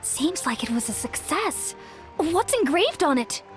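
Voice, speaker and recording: A woman's voice: high voice, very animated, a little slow, faint music behind. A woman playing a curious young villager in a video game. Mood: curious, excited.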